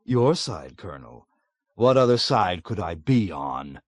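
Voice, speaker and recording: A man's voice: low-pitched, big pitch swings, measured pace, dry recording, exaggerated voice. A man doing a sly, acid-tongued colonel. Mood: sly irony. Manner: drawling, ironic, dryly mocking.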